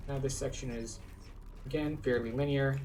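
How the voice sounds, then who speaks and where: A man with a medium-pitched voice guides viewers through a video game. His commentary is casual and explanatory, in easygoing phrasing. There is faint ambient noise behind him. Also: fairly even intonation, normal speed, far from the mic. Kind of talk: casual scripted playthrough commentary.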